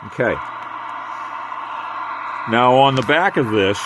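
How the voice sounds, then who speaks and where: An older American man giving a casual hands-on equipment demonstration in a halting, unhurried way. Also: medium pitch, animated, background noise. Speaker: radio hobbyist demonstrating equipment.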